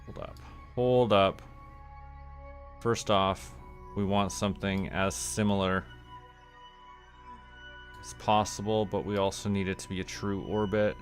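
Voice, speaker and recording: A man's voice: fairly low pitch, fairly even intonation, measured pace, long pauses, soft background music. A man giving casual livestream game commentary, thinking aloud as he goes. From Canada. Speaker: game streamer.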